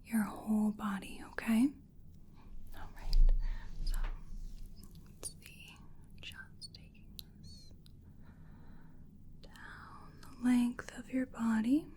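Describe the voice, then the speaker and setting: A woman doing soft-spoken ASMR roleplay as a crystal healer, breathy and mostly whispering, guiding a client in a soothing, repetitive way. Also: a little high, wildly swooping pitch, extremely slow, pauses often.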